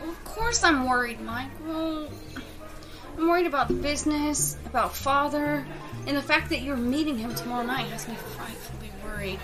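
A woman's voice: sits high, normal speed, music in the background, natural voice, poor audio. A woman playing an anxious, evasive fiancée in an audio drama, speaking hesitantly and guardedly. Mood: worried. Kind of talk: scripted audio drama acting.

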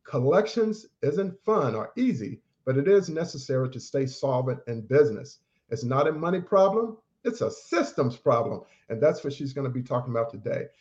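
A man's voice: mid-range voice, sing-song, moderate pace, hardly any pauses, a little mellow. A man hosting a podcast, introducing his guest in an upbeat, formal way full of praise. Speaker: podcast host. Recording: dull, lo-fi sound.

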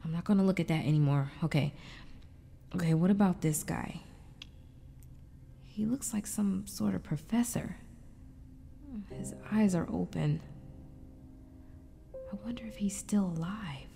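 A woman's voice: lowish voice, measured pace, vocal fry, pauses often, warm tone. A woman playing an anguished but determined wife and mother in an audio drama, narrating in a confiding, reflective way. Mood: uneasy, focused. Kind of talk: first-person dramatic narration.

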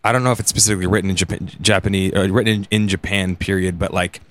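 A man with a fairly low voice speaks in casual discussion. He is halting, repeating small words as he works out his thought. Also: fairly even intonation, fairly bright tone. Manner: halting, repeats words while thinking aloud. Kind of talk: casual panel chat.

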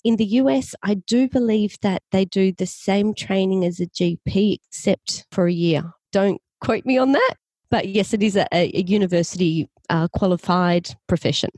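Mellow Australian woman explaining patiently. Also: medium pitch, moderate pace, no room sound. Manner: clear, patient explaining with occasional restarts. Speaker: naturopath guest. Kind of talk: explanatory interview talk.

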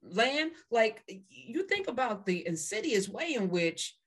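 A woman co-hosting a casual talk show, speaking briskly and emphatically with blunt asides. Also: on the high side, sing-song, breathy edge. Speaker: podcast co-host. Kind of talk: casual conversational discussion.

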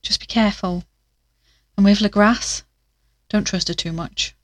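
Actress as determined podcast sleuth, female, medium pitch, matter-of-fact audio drama narration. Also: steady tone, measured pace, no echo, natural voice. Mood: reassuring, firm. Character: determined, self-reliant female podcast investigator. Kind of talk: audio drama narration, recorded-diary delivery.

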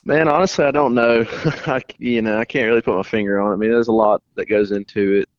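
Low-voiced young man. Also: dry recording, highs cut off. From North Carolina. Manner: relaxed, halting, many restarts. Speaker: race car driver guest. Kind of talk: casual interview answers.

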